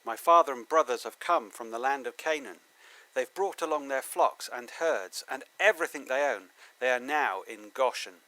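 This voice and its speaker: A man's voice: medium pitch, moderate pace, pushed and bright, lightly acted, rough recording. A man playing Joseph, a confident, commanding Bible-story figure, in an emphatic amateur dramatic reading. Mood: formal announcing.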